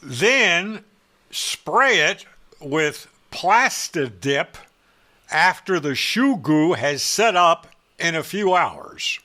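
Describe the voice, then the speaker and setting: A man narrates a how-to as an opinionated hobbyist. His voice sits a little high and has a bright, forceful edge. He takes his time over each phrase, landing points emphatically with a dry, sarcastic streak. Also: big pitch swings, very slow. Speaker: ham radio hobbyist presenting a how-to.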